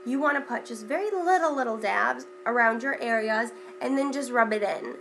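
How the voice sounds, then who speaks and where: A young woman talks through her everyday makeup routine in a loose, chatty way, her voice stuffy from a cold. She sits slightly high in pitch with a gravelly fry at the ends of phrases, and a faint hiss of noise runs under the home recording. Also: moderate pace. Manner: chatty, with many ums and repeated words. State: congested from a cold. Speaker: beauty vlogger. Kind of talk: casual makeup tutorial chat.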